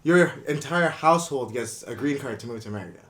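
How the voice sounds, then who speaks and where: A man in his twenties from Indonesia, a guest on a podcast, telling his story in a relaxed, rambling way with lots of "you know what I mean.". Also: mid-range voice, quick pace. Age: 20s. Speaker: guest, brand co-founder. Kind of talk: casual podcast interview. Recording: echoey room.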